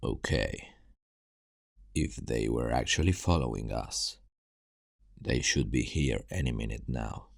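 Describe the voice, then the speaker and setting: A man playing a gruff, world-weary zombie apocalypse survivor in an ASMR roleplay, guarded and urgent, terse and hushed, with a gravelly voice. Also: extremely low pitch, takes it slowly, pauses often, noisy background, exaggerated voice. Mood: tense, urgent. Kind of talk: ASMR roleplay acting, addressing the listener.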